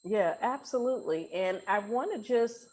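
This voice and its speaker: A woman's voice: medium pitch, animated, normal speed, a little mellow, rough recording. Woman, American accent, podcast host, casual chat, playful, teasing, affirming. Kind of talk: casual, reactive conversation.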